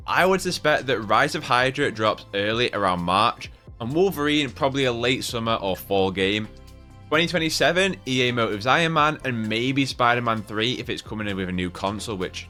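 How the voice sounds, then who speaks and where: A man's voice: mid-range voice, pushed and bright. A man giving casual, chatty and opinionated video game commentary, speaking with emphasis. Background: background music.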